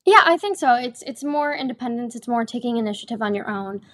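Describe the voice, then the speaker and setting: A young woman who acts on stage talks through her work in a relaxed interview. She sounds earnest and eager, often restarting her sentences. Her voice sits a little high. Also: fast. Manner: earnest and enthusiastic, with frequent restarts. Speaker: stage actor, guest. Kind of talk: casual interview chat.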